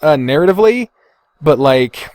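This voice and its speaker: Male podcast host, slightly high voice, dry close-miked sound, casual and opinionated, swears freely. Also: animated, moderate pace, darkish tone, no room sound. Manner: blunt, frequent swearing.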